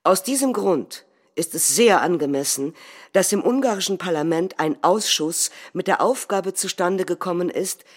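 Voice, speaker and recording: A woman acting as a calculating nationalist head of government, delivering a measured, rhetorical political speech in a theatrical reading. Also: on the low side. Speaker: stage actor.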